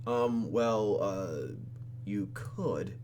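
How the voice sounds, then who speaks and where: A man voicing the hesitant, kind young heroine of a visual novel game in an earnest, halting way. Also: fairly low pitch, a little mellow, slight background noise.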